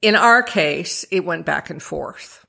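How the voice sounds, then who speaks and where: A woman's voice: lowish voice, expressive, slow, vocal fry, bright, forceful tone. A woman in her sixties, an author from Virginia, talking in a radio interview, thoughtful and hesitant with many fillers. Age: 60s. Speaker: author guest.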